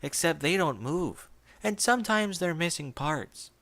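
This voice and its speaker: A man voicing a sweet, eerily innocent little filly, in a soft childlike voice. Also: on the high side, expressive, a little slow, gravelly, pitched above the performer's own voice, heavily acted.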